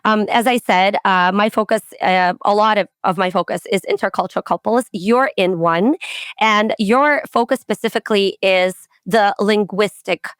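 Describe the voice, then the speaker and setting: A woman hosting a podcast, introducing her guest and asking friendly, measured questions. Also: mid-range voice, clean tone, no echo, clean studio sound. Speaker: podcast host. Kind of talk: podcast interview.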